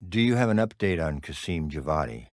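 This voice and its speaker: An actor playing a grave, older intelligence official in a video game, speaking in a gravelly, measured and serious way. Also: male voice, rumbling low, very animated, a little mellow, dry recording, clean studio sound. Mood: stern, probing. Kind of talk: cinematic video game dialogue.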